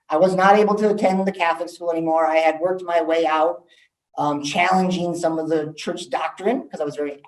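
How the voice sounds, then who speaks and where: A man, giving a candid talk to students as an assistant principal, with frequent ums. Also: high voice. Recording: echoey room, voice from far off.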